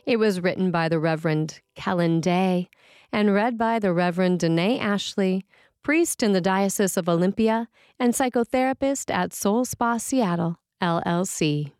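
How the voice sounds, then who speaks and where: A woman's voice: medium pitch, normal speed, darkish tone, smooth, no echo, studio quality. A woman priest reading a scripted sermon for a podcast.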